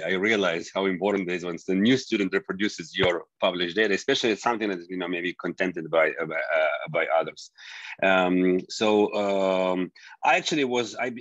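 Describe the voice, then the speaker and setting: A man's voice: low voice, no room sound. A middle-aged man from Georgia, a scientist guest answering interview questions over a video call, often restarting phrases as he looks for words. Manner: halting, restarts phrases while searching for words. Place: home office with bookshelves. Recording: dull, dry video call audio.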